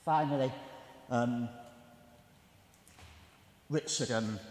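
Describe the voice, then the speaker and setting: A man's voice: medium pitch, very slow, darkish tone. Middle-aged Englishman lecturing in an echoing church. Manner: chatty, self-deprecating, with frequent ums. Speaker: historian giving a public talk. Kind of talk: public lecture with slides. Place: large church with a seated audience. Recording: long echoing church reverb.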